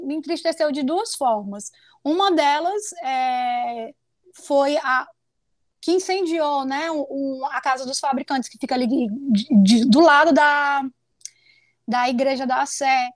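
A woman in her thirties with a northeastern Brazilian accent, a professor giving an informal academic talk in a halting way, rephrasing as she goes, on an earphone mic. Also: sits high, dry recording. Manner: halting, repeats and rephrases, often seeks agreement. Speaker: professor, guest lecturer. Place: small indoor room with bookshelves. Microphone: wired earphone mic on a video call.

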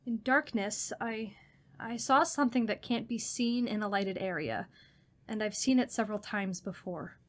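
A woman's voice: mid-range voice, normal speed, natural voice. An actress playing a frightened, insistent young woman haunted by shadows in a radio drama. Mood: haunted, fearful.

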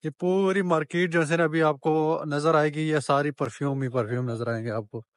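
A man's voice: on the high side, fairly even intonation, fairly quick, no echo, studio quality. A man giving a chatty walking-tour vlog of a street market, talking straight to viewers and bargaining with shopkeepers as he films. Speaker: travel vlogger.

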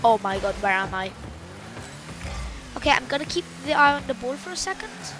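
A woman giving loud, excitable, casual commentary while playing a video game, exclaiming often. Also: sits high, brisk. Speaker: gameplay commentator. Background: game music.

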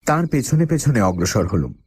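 A man's voice: mid-range voice, very animated, normal speed, a little mellow, slightly rough, no room sound. A man playing the uneasy first-person narrator of a radio horror story, telling it in a dramatic, suspenseful way. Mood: ominous, suspenseful. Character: male first-person narrator, uneasy job-seeker with a dog.

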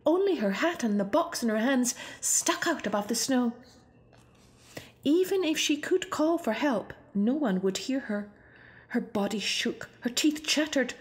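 A woman's voice: a little high, expressive, a little slow. A woman narrating a children's storybook expressively. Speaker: storybook reader.

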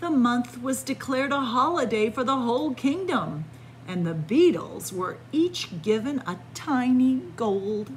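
A woman reading a picture book aloud as a library story time host, expressive and pausing deliberately between phrases. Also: on the high side, slight background noise, rough recording. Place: indoors in a library, among bookshelves.

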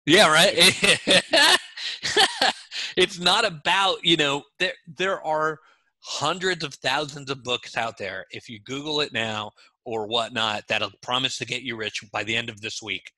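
Bright, emphatic man on a headset. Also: mid-range voice, measured pace, dry recording, rough recording. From born in Israel, raised in Los Angeles. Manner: emphatic, insistent, talks with his hands. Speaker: guest, author and creativity expert. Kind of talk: animated interview conversation. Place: home room with bookshelves. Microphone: headset on a video call.

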